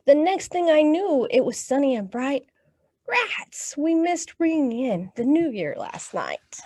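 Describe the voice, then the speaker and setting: Woman, American accent, high-pitched, very unhurried, children's storytime host, encouraging, talks straight to the kids. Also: big pitch swings, a little mellow. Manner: encouraging, addresses children directly with questions and praise. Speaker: children's librarian hosting storytime. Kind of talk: read-aloud storytime and action songs for young children.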